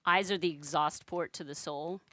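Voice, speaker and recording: Playful woman with a bright, forceful voice. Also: sits low, steady tone, moderate pace. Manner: playful, tongue-in-cheek, self-mocking. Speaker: balloon artist live-streamer. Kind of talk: casual live-stream banter.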